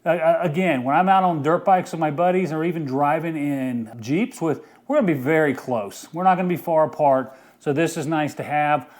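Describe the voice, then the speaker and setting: A middle-aged man with a slightly high voice talks casually through a gear review. He sounds informal and enthusiastic, often repeating a word for emphasis. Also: normal speed, hardly any pauses, darkish tone. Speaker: host of an outdoor gear review channel. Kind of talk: casual product review vlog.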